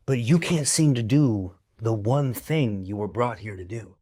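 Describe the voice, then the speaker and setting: An actor in an audio drama playing a cold, cruel, wealthy husband with a curt, biting, commanding manner. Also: male voice, on the low side, expressive, unhurried, mellow, no room sound, studio quality. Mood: scornful.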